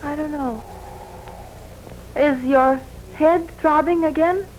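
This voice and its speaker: An actress playing a devoted, clinging wife in a 1940s radio drama, with emotional, melodramatic, breathless delivery. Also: female voice, high voice, slow pace, darkish tone, rough recording. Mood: adoring, anxious. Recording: hissy 1940s radio recording.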